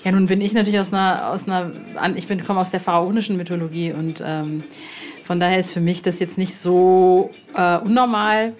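A woman talking casually as a podcast interviewer in an exhibition room, rephrasing haltingly as she thinks. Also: lowish voice, fairly even intonation. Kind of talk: casual, spontaneous conversation. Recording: clearly noisy indoor ambience.

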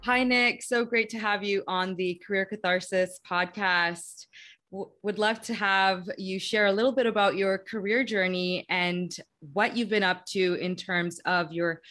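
A woman hosting a career podcast who reads a scripted introduction and asks affirming interview questions. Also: medium pitch. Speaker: podcast host.

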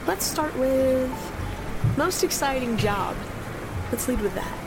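An easygoing woman hosting a night radio show in an audio drama. Her voice is on the high side, chatty and informal, with prominent music behind her. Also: animated, slow, exaggerated voice. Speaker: radio host.

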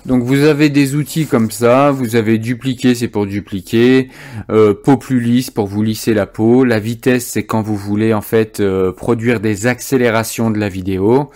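A man's voice: mid-range voice, steady tone, moderate pace, a little mellow. Informal man presenting a tutorial. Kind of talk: informal software tutorial, demonstrating step by step.

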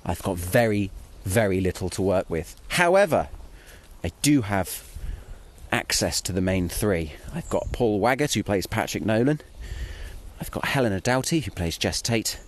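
A man's voice: on the low side, very animated, moderate pace. A man, a podcast writer, thinking aloud in a casual audio diary.

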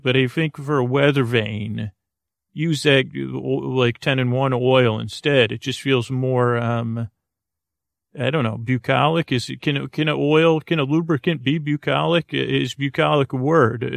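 Male podcast host, low-pitched-neutral creaky voice, close dry sound, meandering bedtime monologue with halting, unfinished thoughts. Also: no room sound.

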